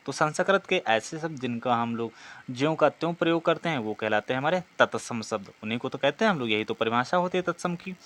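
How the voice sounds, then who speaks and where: A man's voice: mid-range voice, a bit bright. A man teaching an exam practice lesson, reading out questions and explaining the answers in a didactic way, often asking and answering himself.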